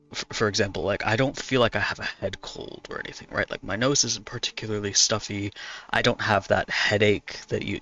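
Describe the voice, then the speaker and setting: A man with a hoarse, gravelly voice chats casually as he draws on a stream. His tone is fairly low but bright and forceful. He rambles, restarts sentences and laughs between thoughts, with faint music behind him. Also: dull audio. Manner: rambling, self-correcting, laughs often. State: hoarse from talking a lot. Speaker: artist streaming while drawing. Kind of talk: casual chat answering viewers.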